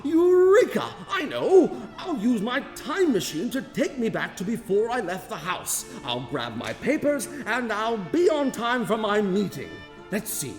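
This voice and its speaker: An actor playing an excitable, bumbling, self-important old inventor in a radio play, theatrical and flustered. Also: male voice, high-pitched, exaggerated voice. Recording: roomy echoing hall sound, music behind.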